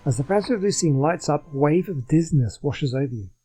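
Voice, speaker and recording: Male let's-play commentator: husky, soft-edged dark tone, casual and understated. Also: a little high, lively intonation, fast talker. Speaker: gamer commentating on a playthrough. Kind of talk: casual game commentary, reading on-screen text aloud. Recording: music behind the voice.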